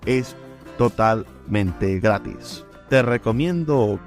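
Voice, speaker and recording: A man's voice: on the low side, lively intonation, extremely slow, faint music behind. A man reading scripted promotional narration as a radio host.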